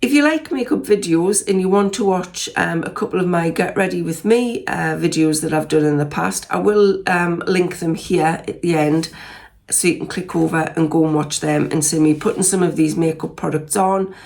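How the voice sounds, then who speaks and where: Geordie woman, sixties, gravelly voice, lowish pitch, croaky with a cold, rambling casual chat. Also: normal speed, a little mellow. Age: 60s. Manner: chatty, rambling, lots of ums and restarts. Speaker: beauty vlogger. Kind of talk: casual makeup review vlog.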